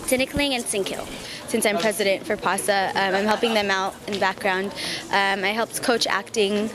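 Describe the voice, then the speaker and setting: Young woman, student performer, mid-pitched, casual interview delivery amid loud crowd noise. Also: fairly even intonation, normal speed. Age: young adult. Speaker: student club president, cultural show performer. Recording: loud crowd chatter behind.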